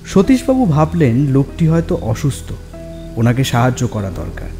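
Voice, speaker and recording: A man narrating a scripted horror story in a measured, suspenseful way. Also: mid-range voice, very animated, dark tone. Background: music and rain.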